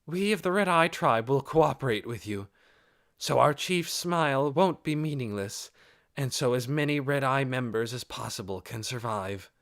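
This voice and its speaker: A man's voice: mid-range voice, animated, normal speed, a bit bright, dry recording, studio quality, pitched above the performer's own voice. A man voicing a young female lizardfolk chief for an audiobook, polite, earnest and cheerful in manner. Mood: earnest, resolute. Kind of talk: audiobook character acting.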